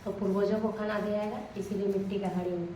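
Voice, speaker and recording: A young woman, hoarse from a sore throat, chatting candidly in a village vlog while she cooks. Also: mid-range voice, flat delivery, fast, mellow, roomy sound, slight background noise. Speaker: vlogger. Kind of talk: casual vlog narration while cooking. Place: village home kitchen.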